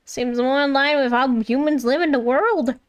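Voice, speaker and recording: A woman's voice: high voice, moderate pace. A woman giving casual live commentary as a game streamer, informal and musing aloud.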